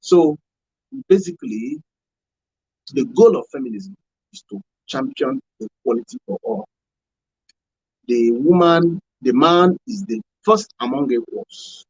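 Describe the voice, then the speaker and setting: A Nigerian man explains his points earnestly on a radio panel. His voice is husky and raised in pitch, with big swings. He repeats for emphasis, and the recording sounds dry and slightly dull. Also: big pitch swings, a little slow, pauses often, darkish tone, no room sound. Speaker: panel guest.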